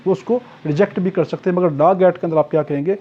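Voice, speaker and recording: A man's voice: sits high, fast talker. A man with a Pakistani accent lecturing as an exam tutor, repeating key points and posing questions that he then answers. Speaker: teacher, law exam tutor. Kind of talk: explanatory lecture for exam preparation. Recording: dull, lo-fi audio.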